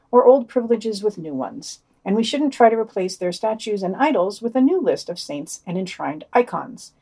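A woman's voice: mid-range voice, a little mellow. A woman hosting a podcast, reading a scripted news digest with wry, emphatic, opinionated commentary. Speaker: podcast host.

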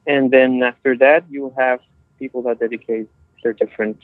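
A man's voice: medium pitch, level tone, normal speed, no echo. Man on a dull, dry lo-fi line. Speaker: podcast discussion participant. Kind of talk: casual analytical discussion.

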